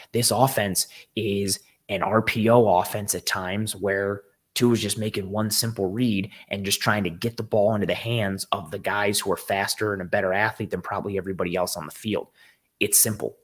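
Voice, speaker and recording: Hoarse, raspy-voiced man hosting a football show. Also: fairly low pitch, fairly even intonation, fairly quick. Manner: rambling, eager, with frequent ums and restarts. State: hoarse, voice worn from a game the night before. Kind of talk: casual live-stream sports analysis, reading chat comments.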